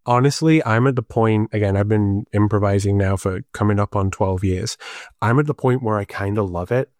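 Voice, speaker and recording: A man's voice: fairly low pitch, normal speed, darkish tone, no echo. A man with a Liverpool accent, a planner and improviser, talking animatedly and self-deprecatingly in an interview. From Liverpool, England. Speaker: guest, urban planner and improv comedian. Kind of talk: conversational podcast interview.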